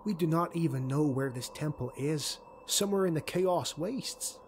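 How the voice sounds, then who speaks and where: A man narrating an audiobook, voicing a shrewd, cautious elf woman warrior who talks in a measured, thoughtful, persuasive way. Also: on the high side, a little hiss or hum, pitched above the performer's own voice, lightly acted.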